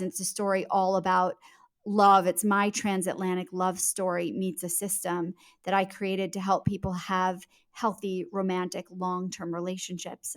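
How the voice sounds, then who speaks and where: A woman with a mid-pitched voice answers as a podcast guest. She talks like a storyteller in conversation, candid and reflective. She leans on words and repeats them for emphasis. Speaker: author and TV producer, podcast guest.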